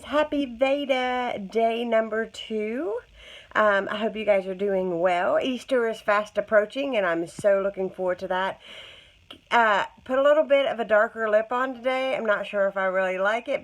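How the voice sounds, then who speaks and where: A woman's voice: medium pitch, fairly bright tone, poor audio. A woman in her forties from West Virginia with an Appalachian accent, chatting casually to a phone camera at home in her morning froggy voice. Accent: Appalachian American. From West Virginia, USA. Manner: chatty, homey, rambling with fillers. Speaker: home vlogger. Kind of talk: casual chat to camera, grocery haul. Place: small bedroom indoors. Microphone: phone at arm's length.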